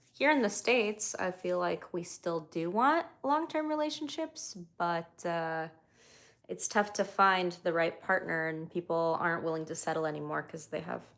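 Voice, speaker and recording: A woman from Ukraine, a native Russian speaker, casually chatting on her livestream as a comedian and podcast host. She reads viewer comments aloud and thinks out loud with easy pauses. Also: lowish voice, vocal fry. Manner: easygoing, thinks aloud with frequent ums, reads chat comments aloud. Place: at home, brushing her dog.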